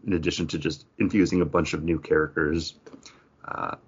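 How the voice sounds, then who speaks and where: A man with a husky voice explaining things informally as a podcast co-host in casual chat. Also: a bass voice, flat delivery, a little fast, muffled recording. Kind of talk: casual chat about games and comics.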